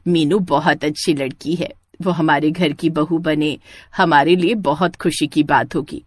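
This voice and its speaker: A woman's voice: deep voice, steady tone, a little slow, no room sound, pitched below the performer's own voice. A woman voicing a kindly, firm middle-aged mother in a cartoon story, reassuring and matter-of-fact.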